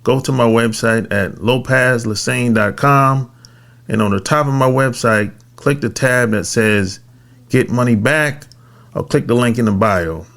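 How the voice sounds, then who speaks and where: A man hosting an interview podcast, reading sponsor spots and introducing his guest in a deliberate, emphatic announcer style. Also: mid-range voice, creaky, a bit bright. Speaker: podcast host.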